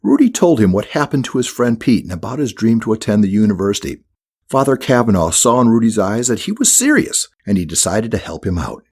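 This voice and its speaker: A man's voice: lowish voice, sing-song, fairly quick, darkish tone, breathy edge. A man reading a scripted children's audiobook as the narrator.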